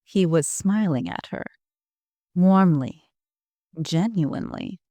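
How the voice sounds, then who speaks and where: A woman reading scripted narration for an audio drama in a measured storytelling manner. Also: fairly low pitch, animated, warm tone, no echo. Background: music.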